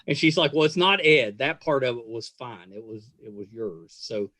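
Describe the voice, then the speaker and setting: A man, probably middle-aged, hosting a casual podcast interview, speaking haltingly and effusively with repeated words. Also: mid-range voice, lively intonation, pushed and bright, no echo. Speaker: podcast host.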